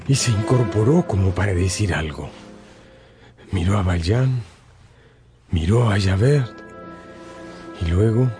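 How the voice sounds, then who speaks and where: A man narrating a scripted radio drama as a dramatic storyteller. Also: sits low. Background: background music.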